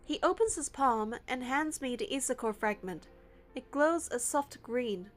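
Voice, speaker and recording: A woman reading a game's story aloud as narration in an expressive way. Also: high-pitched, unhurried. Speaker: player narrating a game playthrough. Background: music.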